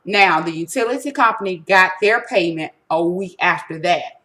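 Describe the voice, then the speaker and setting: A woman's voice: fairly low pitch, moderate pace, fairly bright tone. A woman presenting an informal step-by-step tutorial, instructive and emphatic, often checking that listeners follow. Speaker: resource center presenter giving a tutorial.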